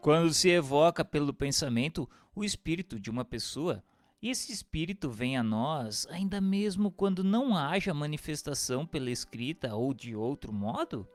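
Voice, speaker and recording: A man reading scripted notes and questions for a radio drama with formal, measured diction. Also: on the high side, expressive, soft background music. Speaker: reader posing the questions.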